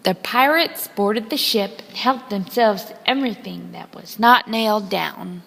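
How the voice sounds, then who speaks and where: A woman reading a children's story aloud in a dramatic, deliberate storytelling manner. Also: mid-range voice, gravelly, fairly bright tone, slight background noise. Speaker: storybook reader. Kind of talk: scripted children's story narration, read aloud expressively. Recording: long hall-like reverb.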